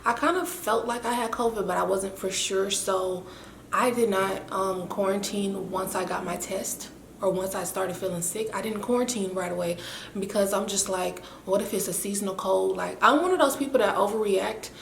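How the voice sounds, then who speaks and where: A young woman with a raspy, winded voice, chatting in a rambling, self-mocking way to her camera. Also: mid-range voice, moderate pace. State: winded and hoarse after illness. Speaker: vlogger. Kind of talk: casual chat to camera. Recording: echoey room with faint hiss.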